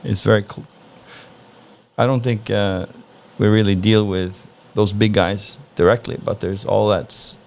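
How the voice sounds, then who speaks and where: Interview guest, male, from Norway, gravelly low voice, easygoing and thoughtful. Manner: relaxed, thoughtful, frequent "uh" pauses. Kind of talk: casual interview answers.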